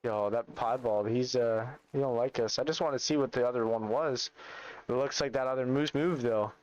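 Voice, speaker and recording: A young man in his twenties with a lilt talks easily to his viewers between hunts. His voice is smooth and clear, pitched in an ordinary middle range, and his manner is relaxed and chatty. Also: normal speed, highs cut off. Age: 20s. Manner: laid-back, chatty, reads and answers chat. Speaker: streamer. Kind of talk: casual livestream chat while gaming.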